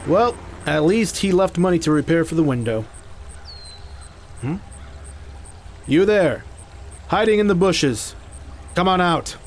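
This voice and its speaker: A man's voice: fairly high pitch, animated, unhurried, long pauses. A man playing a confident, stern fighter who guards a sacred treasure in an audio drama, firm and teasing. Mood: amused, teasing. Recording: faint background noise.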